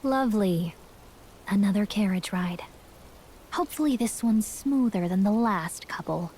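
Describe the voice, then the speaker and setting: An actress playing a sassy yet warm-hearted female companion in a video game, expressive and sardonic. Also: mid-range voice, measured pace, a little hiss or hum, lightly acted.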